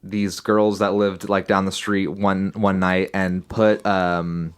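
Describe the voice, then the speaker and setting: Man, low voice, casual podcast co-host, hesitant, lots of ums, laughing. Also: flat delivery, slow, smooth. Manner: storytelling with many ums, laughs while talking.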